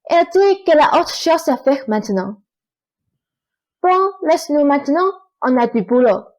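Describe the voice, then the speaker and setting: A woman's voice: high voice, expressive, moderate pace, a little mellow, highs cut off. A woman playing a lazy, teasing young stepsister, falsely sweet and bullying, in a student radio play. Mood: mocking. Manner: teasing, offhand.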